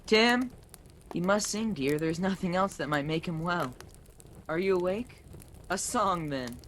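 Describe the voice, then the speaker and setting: A woman playing a gentle, charitable poor father and clerk, earnest in radio play style. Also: fairly low pitch, lively intonation, a little slow. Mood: earnest, hopeful. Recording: faintly noisy home recording.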